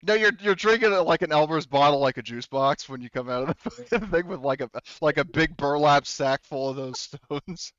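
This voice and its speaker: Clear-voiced man running a game, slightly muffled. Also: a little high, animated, a little fast, hardly any pauses, a bit bright, dry recording. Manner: informal, loosely phrased, profane. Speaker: game master. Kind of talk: narrating a tabletop role-playing game, casual.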